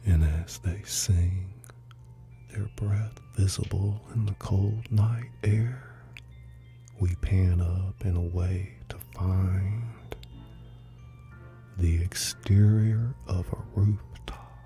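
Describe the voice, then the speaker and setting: A man narrating a scripted dramatic story in a deliberate manner, sometimes hushed. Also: low-pitched, fairly even intonation, faint music behind. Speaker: narrator of a live story reading.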